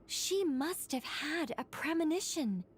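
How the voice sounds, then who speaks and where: Wise, formal female game guide; high voice, measured delivery, slight background noise. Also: animated. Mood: calm, solemn. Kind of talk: scripted video game dialogue.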